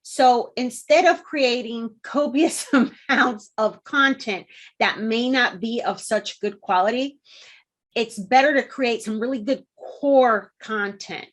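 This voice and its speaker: A woman's voice: a little high, slow. A woman in her forties from Puerto Rico, a guest explaining her work in a friendly way with frequent ums. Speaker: guest, content marketing consultant. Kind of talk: interview answers.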